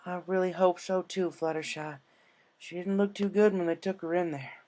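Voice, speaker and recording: A man voicing a shaken, guilt-ridden young female farm pony, halting and heavy-hearted in manner. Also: high voice, fairly even intonation, a little mellow, pitched above the performer's own voice, lightly acted. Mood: shaken, regretful.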